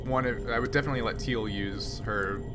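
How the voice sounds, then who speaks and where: A man's voice: medium pitch, noisy background. A man running a tabletop game as game master, explaining rules and narrating a scene with lots of fillers and restarts. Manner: halting, filler-heavy, frequent restarts.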